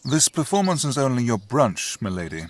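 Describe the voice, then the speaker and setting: An actor playing a dutiful household servant in an audio drama, speaking in a formal, measured, drily roundabout way. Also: male voice, medium pitch, sing-song, close to an everyday voice. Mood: formal, dry. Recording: faint background noise with birdsong.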